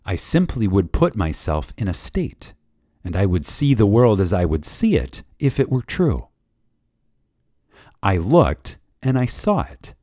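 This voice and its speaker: A man reading a spiritual lecture dramatically, with reverence, emphasis and deliberate pauses. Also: lowish voice, dark tone, no echo, studio quality. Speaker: reader of a lecture.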